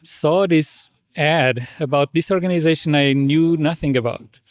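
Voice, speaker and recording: A middle-aged man from Portugal, answering interview questions in a measured, thoughtful way with frequent restarts. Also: on the high side, no room sound, studio quality. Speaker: association president. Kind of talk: conversational interview.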